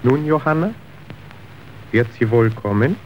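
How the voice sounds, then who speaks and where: A man's voice: mid-range voice, lively intonation, lightly acted. Man acting a slick, calculating factory official, muffled recording. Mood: cool, watchful. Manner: measured, persuasive, officious. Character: slick, calculating male factory boss's agent, smoothly manipulative. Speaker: actor. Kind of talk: stage-like German radio drama acting. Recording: dull, noisy old radio recording.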